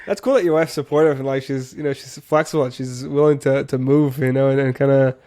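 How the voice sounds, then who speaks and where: A man's voice: mid-range voice, steady tone, quick pace, dark tone. A man in his thirties hosting an interview, friendly, curious and encouraging with his guest. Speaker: podcast host. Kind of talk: casual interview chat.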